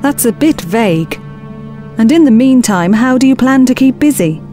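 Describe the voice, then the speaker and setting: A woman acting a skeptical, practical expedition officer in a science fiction audio drama, brisk and matter-of-fact. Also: a little high, lively intonation, dark tone, close to an everyday voice. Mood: wary. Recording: music bed under the dialogue.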